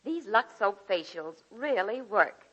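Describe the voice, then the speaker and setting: A contented housewife in a 1940s radio commercial. She speaks in a bright, crisp-edged testimonial style at a medium pitch, on a dull old recording. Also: lively intonation, slow. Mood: pleased, instructive. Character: contented housewife giving a beauty soap testimonial.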